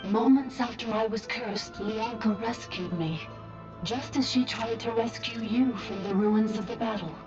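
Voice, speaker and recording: A woman's voice: on the high side, close to an everyday voice. Woman as a solemn ancient priestess, slightly distant. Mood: solemn, sorrowful. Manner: measured, formal, grave. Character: ancient dragon priestess in robot body, solemn, compassionate. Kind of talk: video game voice acting. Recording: muffled distant game audio under music.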